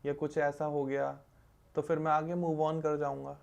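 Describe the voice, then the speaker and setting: Gravelly-voiced male podcast host. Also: on the high side, unhurried. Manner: steady stream of quiet "hmm" backchannels while listening. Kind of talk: casual conversational interview.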